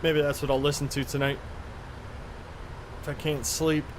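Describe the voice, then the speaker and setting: Casual man over steady hum. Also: on the high side, moderate pace. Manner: rambling, offhand, mildly profane. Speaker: flight sim live streamer. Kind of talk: casual chat while playing a flight simulator.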